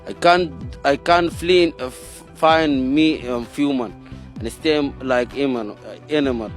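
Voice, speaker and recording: A man's voice: mid-range voice, a little slow, soft background music. Pleading man in broken English. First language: not English. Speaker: refugee interviewee. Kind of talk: street interview.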